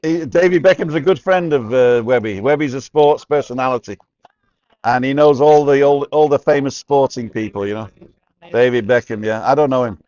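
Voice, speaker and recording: A man's voice: medium pitch, normal speed, a little mellow, no room sound. A man in his sixties hosting a vlog, chatting and asking lots of questions in a bar. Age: 60s. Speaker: vlogger host. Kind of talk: casual chat. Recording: slightly dull sound.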